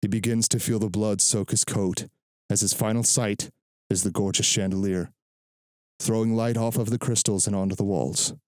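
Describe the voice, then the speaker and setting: A man reading literary fiction as a narrator, in a measured, dramatic storytelling delivery close to the microphone. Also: lowish voice, fairly even intonation, darkish tone, no room sound.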